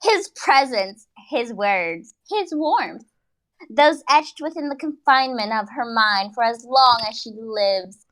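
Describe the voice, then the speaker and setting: Theatrical, slow-paced woman narrator. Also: on the high side, lively intonation, a bit bright, poor audio. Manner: theatrical, drawn-out phrasing. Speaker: narrator of a comic dramatic reading. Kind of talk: mock-dramatic fan fiction narration with asides.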